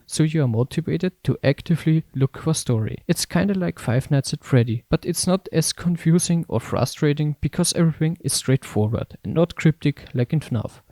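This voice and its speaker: A man's voice: mid-range voice, warm tone, no echo. A man reading scripted narration as a video essayist reviewing games.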